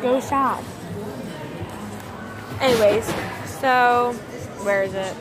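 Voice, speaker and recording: A young girl with a slightly raspy voice, chattily reviewing toys on a handheld phone in a big store, often distracted. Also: on the high side, extremely slow, music in the background, a crowd in the background. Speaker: vlogger. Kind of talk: casual toy review vlog. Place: large indoor retail store. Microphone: handheld phone camera.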